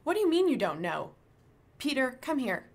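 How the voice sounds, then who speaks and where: A woman's voice: sits high, very animated, normal speed, a little mellow, exaggerated voice. A woman playing an anxious, shaken young woman in a radio drama. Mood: alarmed, demanding. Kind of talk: radio play acting.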